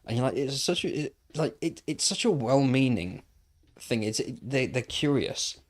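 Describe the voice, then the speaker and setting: A man's voice: mid-range voice, lively intonation, normal speed. Man musing aloud while gaming. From Wales. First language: Welsh. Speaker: gaming livestreamer. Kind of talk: casual chat while playing.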